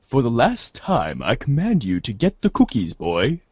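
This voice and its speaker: A man's voice: mid-range voice, wildly swooping pitch, darkish tone, slightly rough, exaggerated voice. A man playing an imperious, insulting commander in a read-along storybook comedy, pompous and exasperated as he orders a boy about. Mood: exasperated, commanding. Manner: pompous, exasperated, slow and theatrical. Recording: echoey, dull lo-fi recording.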